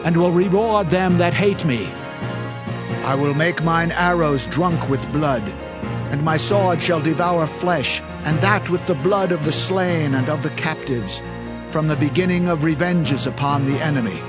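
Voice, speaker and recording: A solemn male prophet, acted by a man, recites divine vengeance in a declamatory style. His register sits a little high for a man, and music plays clearly behind the dramatized scripture. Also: measured pace, lightly acted. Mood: vengeful, triumphant. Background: clear background music.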